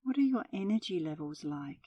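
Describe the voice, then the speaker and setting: A woman leading a guided meditation as an instructor, with a soothing, gentle delivery. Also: fairly low pitch, animated, a little fast, dry recording, recorded from a distance.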